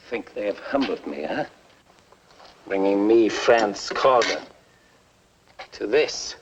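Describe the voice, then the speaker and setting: A bitter, defiant scientist, old noisy film. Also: male voice, lowish voice. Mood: scornful, amused, then bitter, brooding. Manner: theatrical, scornful, self-important. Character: bitter, proud male fugitive scientist, mocking and defiant. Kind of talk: 1950s film acting.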